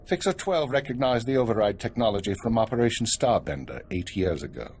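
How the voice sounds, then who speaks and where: Low-voiced stern spymaster. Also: male voice, very animated, a little mellow, a little hiss or hum. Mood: brisk, businesslike. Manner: authoritative, measured. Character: stern, weary older male spymaster in command. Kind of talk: video game cutscene acting.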